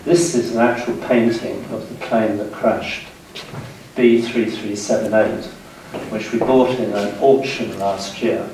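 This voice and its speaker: A man in his sixties with a British accent gives a public history lecture in a measured way, pausing between short phrases. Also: on the low side, steady tone, darkish tone. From United Kingdom, west of London. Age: 60s. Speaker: guest lecturer. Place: indoor seminar room, speaking to an audience. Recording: very echoey room, distant mic, faint noise.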